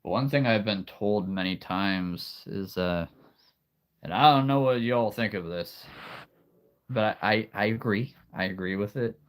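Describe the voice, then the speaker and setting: Deep-voiced guy hosting a stream, really low and slow, soothing storyteller feel, clears his throat a lot. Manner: soothing, calm storyteller delivery; rambling, with frequent restarts and soft laughs. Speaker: livestream host. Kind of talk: casual live-stream chat.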